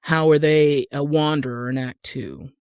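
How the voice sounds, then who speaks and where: Podcast guest: American male, slightly high pitch, conversational explainer, very dry studio-like sound. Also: fairly even intonation, measured pace, clear, resonant tone, dry recording. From Utah, USA. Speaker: guest, actor and screenwriter.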